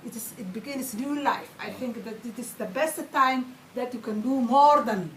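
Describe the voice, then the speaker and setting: A woman's voice: a little high, moderate pace, reverberant, distant mic, slight background noise, poor audio. A woman from Somalia, a guest on a radio panel who talks earnestly and often restarts her phrases. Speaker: studio guest.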